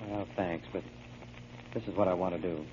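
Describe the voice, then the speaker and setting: An actor playing a feckless, remorseful grown son and brother who blames himself and promises big, in 1940s radio drama style. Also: male voice, low voice, fairly even intonation, normal speed, darkish tone, close to an everyday voice. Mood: apologetic, resolved. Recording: muffled, hissy old radio broadcast.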